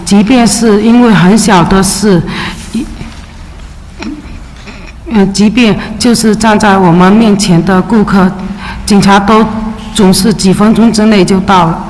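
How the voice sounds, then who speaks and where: A woman's voice: mid-range voice, steady tone, unhurried, long pauses, darkish tone, slight background noise. Woman giving testimony in Mandarin, echoey hall. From China. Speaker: witness testifying at a city council hearing. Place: large public hearing chamber. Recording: echoey hearing room with faint ambience.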